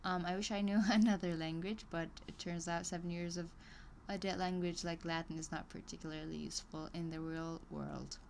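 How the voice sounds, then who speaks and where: Woman, low-pitched, casual stream reading, chatty asides, halting with ums, faint background hiss. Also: fairly even intonation, gravelly, darkish tone. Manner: reads course text aloud, breaking off into chatty asides and small laughs; hesitant, many ums and restarts. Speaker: live streamer working through an online course.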